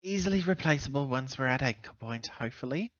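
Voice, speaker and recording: A man playing a tabletop roleplaying game, speaking casually and haltingly in character. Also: medium pitch, lively intonation, dry recording, highs cut off.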